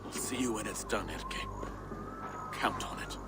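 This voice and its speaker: A man playing a gruff, fierce warrior in a video game, giving orders and battle cries. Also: on the low side, animated, unhurried, very noisy. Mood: grim resolve. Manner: commanding, forceful battle cries. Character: gruff, fierce male medieval warrior rallying allies in battle. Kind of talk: video game cutscene acting. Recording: loud music behind the voice.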